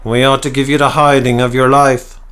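A man voicing a stern, scornful male town doctor who talks slowly and snarls. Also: mid-range voice, flat delivery, a bit bright, smooth, pitched above the performer's own voice, close to an everyday voice. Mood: threatening. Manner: slow, snarling, contemptuous.

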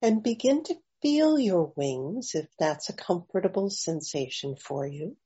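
A woman leading a guided meditation, offering gentle invitations with long pauses between phrases, in slightly dull audio. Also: lowish voice, expressive, husky. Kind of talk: slow guided meditation narration.

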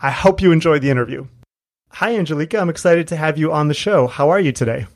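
A man's voice: on the high side, fast. A man speaking as the host of an interview podcast. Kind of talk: interview and scripted introduction.

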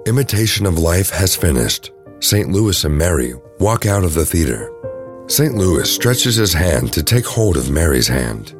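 Deep voiced guy narrating a scripted audio drama, soft music under him. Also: sing-song, unhurried.

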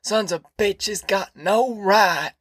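A woman's voice: medium pitch, slow, breathy edge, no room sound, clean studio sound, pitched above the performer's own voice. A woman voicing a boastful, cussing old grandfather with a folksy rural drawl.

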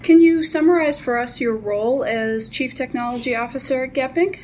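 A woman hosting an interview podcast who reads prepared questions in a clear, even way. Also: sits high, moderate pace, a little hiss or hum. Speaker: podcast host.

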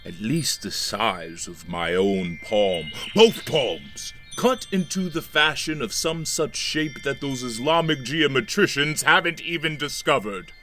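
An actor playing a greedy, grandiose ruler craving treasure in an audio drama, imperious and rhapsodic. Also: male voice, mid-range voice, lively intonation, a little slow, few pauses, pushed and bright. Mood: covetous, rapturous. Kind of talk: theatrical audio drama monologue. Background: guitar music.

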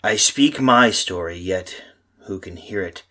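A man playing a tormented husband in an old-style radio horror drama, theatrical and husky. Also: low-pitched, bright, forceful tone. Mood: anguished, brooding. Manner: theatrical, brooding, halting monologue. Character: tormented lost husband, haunted, telling his doom.

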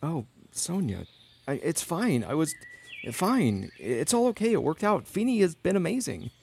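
A man playing a gentle, vulnerable young man in a naturalistic podcast drama, with a halting, informal way of talking. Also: on the high side, sing-song, gravelly, slight background noise, heavily acted. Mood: moved, hesitant. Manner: halting, soft-hearted, informal. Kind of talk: naturalistic fiction podcast acting.

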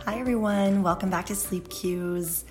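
A woman in her thirties hosting a podcast on baby sleep, her voice hoarse and scratchy, explaining things slowly and patiently in a chatty way. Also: medium pitch, darkish tone, faint music behind. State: hoarse, scratchy voice from a seasonal cold. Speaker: pediatric sleep consultant and podcast host. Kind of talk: solo podcast Q and A.

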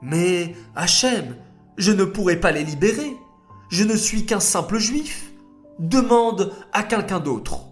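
Guy putting on a humble shepherd voice, pleading, lively and higher up, soft music behind. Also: measured pace. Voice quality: stammering. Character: humble young shepherd man.

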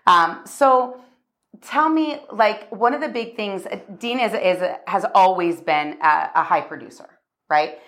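A woman's voice: mid-range voice, animated, unhurried, a bit bright. A woman hosting a podcast, chatting in a bubbly way with plenty of fillers as she interviews a guest. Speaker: podcast host. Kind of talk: casual interview.

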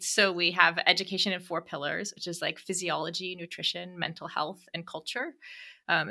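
A woman in her thirties, a podcast guest talking about her business, chatty and quick to laugh. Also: lowish voice, bright, forceful tone. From Canada and the Middle East. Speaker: guest, media business owner. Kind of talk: casual interview chat.

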